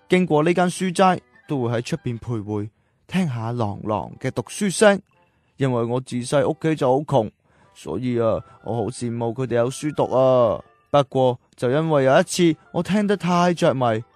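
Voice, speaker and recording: A man playing a gentle, poor cowherd boy who loves learning in a radio drama, telling his story earnestly. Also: medium pitch, expressive, normal speed, dry recording, soft background music, lightly acted. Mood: wistful.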